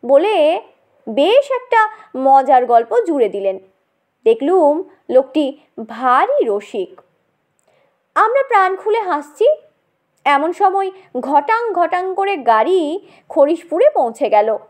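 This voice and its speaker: Very high-pitched woman storyteller, slow and expressive. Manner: expressive, dramatized reading. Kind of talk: expressive audio story narration of a comic tale.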